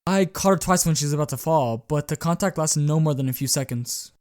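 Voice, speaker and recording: A man's voice: fairly high pitch, fairly quick, a little mellow, no echo, clean studio sound, natural voice. A man voicing a defensive, curt young vampire son in an amateur roleplay. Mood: irritated.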